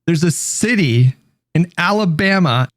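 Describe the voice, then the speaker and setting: A man with a moderately high voice in a dry, close recording. He tells stories casually and haltingly, slipping between informal, sweary talk and reading aloud. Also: animated, no echo. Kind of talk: casual storytelling, partly reading an article aloud.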